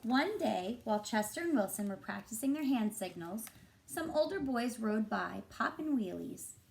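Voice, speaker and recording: Animated, high-voiced woman reading a storybook. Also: normal speed, creaky, a little hiss or hum, poor audio. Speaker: teacher reading a picture book aloud. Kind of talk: expressive storybook narration for children.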